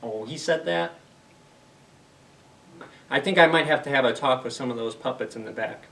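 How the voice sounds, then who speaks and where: A man's voice: medium pitch, normal speed, vocal fry, fairly bright tone, distant mic, slight background noise. A man hosting a story time for young children, reading aloud and explaining things simply with questions to the listeners.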